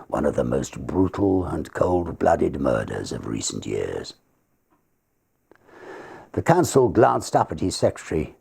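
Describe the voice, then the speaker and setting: A man with a gravelly, husky voice reading stories and verse aloud in a theatrical, expressive manner. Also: extremely low pitch. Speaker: ghost story reader. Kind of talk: dramatic literary reading, verse and prose.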